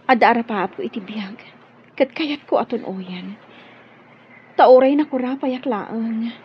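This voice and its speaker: A woman's voice: a little high, measured pace, slightly rough, muffled recording. An actress with an Ilocano accent playing a modest, guarded young servant girl in a radio drama. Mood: timid, pleading.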